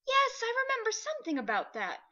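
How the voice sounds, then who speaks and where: A woman reading aloud, voicing a gentle, bewildered old male cab horse who speaks slowly and thoughtfully. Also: very high-pitched, wildly swooping pitch, voice pushed up, exaggerated voice. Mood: musing. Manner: slow, thoughtful, musing. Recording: dull lo-fi recording.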